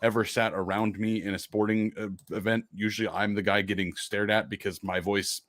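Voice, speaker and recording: A man's voice: fairly low pitch, level tone, normal speed, a bit bright, dry recording, clean studio sound. A man co-hosting a casual sports podcast, with a powerful voice that carries. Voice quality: powerful, projecting voice. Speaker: podcast co-host.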